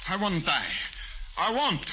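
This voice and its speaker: An actor in 1940s radio style playing a villain's devoted servant, pleading. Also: male voice, high voice, big pitch swings, measured pace, heavily acted. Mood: terrified, pleading. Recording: noisy, sharp vintage 1940s radio.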